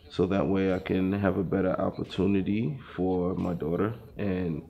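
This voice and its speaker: A man's voice: low-pitched, gravelly, a little mellow, muffled recording. A young man from Brooklyn, a student, talking about his plans in an interview, hesitant with many fillers. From Brooklyn, New York. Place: dark studio, indoors.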